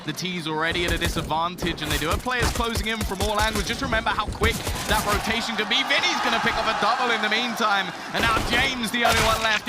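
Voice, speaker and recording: A man calling an esports match play by play, energetic and rising to shouts on big plays. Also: sits high. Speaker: esports caster. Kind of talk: play-by-play commentary.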